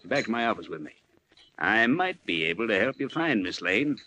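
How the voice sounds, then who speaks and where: An actor playing a folksy, evasive small-town newspaper editor in a 1940s-style radio drama. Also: male voice, on the low side, sing-song, moderate pace, bright, forceful tone. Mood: confiding. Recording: noisy old-time radio recording.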